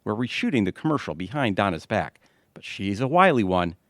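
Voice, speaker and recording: An actor playing a good-natured, exasperated middle-aged husband in an audio theatre comedy, wisecracking and confiding. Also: male voice, lowish voice, big pitch swings, brisk, a bit bright, dry recording. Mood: confiding, pleased.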